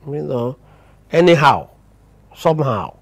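A man with a Nigerian accent playing a patronising pastor who counsels in a measured, sermonising way in a drama. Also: mid-range voice, sing-song, vocal fry, dry recording, exaggerated voice. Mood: preachy, dismissive. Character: preachy, patronising male pastor.

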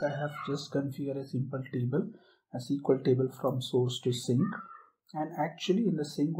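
Man, tech tutorial presenter, mellow dark tone, step-by-step explaining, casual fillers. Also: medium pitch, rough recording. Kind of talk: screen-share software tutorial.

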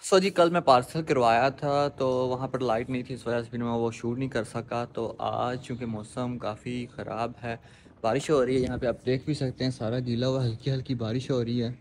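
A man's voice: mid-range voice, steady tone, normal speed. A young man with a Pakistani Urdu accent vlogging casually, explaining things to viewers and mixing in English phrases, filmed on a phone held at arm's length. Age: young adult. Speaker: vlogger and cosmetics shop owner. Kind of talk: casual vlog talk to camera. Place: home rooftop in sun, then a stocked shop on a road. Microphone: phone camera at arm's length. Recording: loud street and vehicle noise.

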